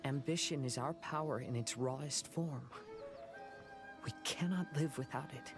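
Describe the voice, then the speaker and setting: Guy doing a earnest young wanderer swordsman, solemn game dialogue, medium pitch, music clearly playing. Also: measured pace, natural voice. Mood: solemn, resolute.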